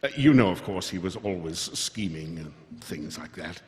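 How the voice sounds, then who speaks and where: Pompous, scheming official, wildly swooping delivery. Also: male voice, low-pitched, expressive, normal speed, a little mellow. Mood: uneasy, evasive. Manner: stammering, blustering, self-important. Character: nervous, pompous, scheming male official turned villain. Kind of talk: live radio theatre acting. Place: live stage in a hall. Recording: reverberant hall, live stage performance.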